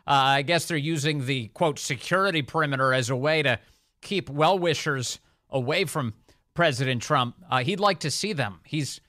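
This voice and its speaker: Bright, forceful male radio host. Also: on the high side, no room sound, clean studio sound. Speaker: radio talk show host. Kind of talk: conversational political talk radio.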